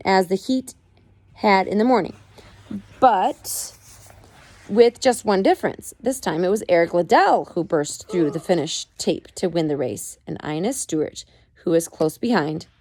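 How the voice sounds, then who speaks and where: A woman with a slightly low voice reads a true-life story aloud at home. Her delivery is measured, leaning on key words like a parent at storytime. The sound is dry, with very little room in it. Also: creaky, darkish tone, no echo. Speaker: parent reading aloud to child. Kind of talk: reading a biography aloud, storytelling. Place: at home with a child nearby.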